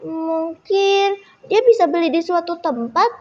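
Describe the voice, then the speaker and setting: A young female storyteller voicing concerned, gossiping village friends in puzzled, hushed asides. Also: extremely high pitch, a little slow. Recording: muffled echoey hall recording.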